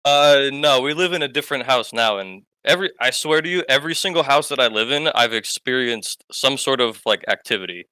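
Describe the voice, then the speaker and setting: Guy calling in with a spooky story, keeps saying like, bright voice, dry sound. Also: medium pitch, expressive, brisk, hardly any pauses, clear, resonant tone, no room sound. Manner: rambling, lots of "like" fillers. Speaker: caller telling a ghost story. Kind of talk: casual storytelling on a call.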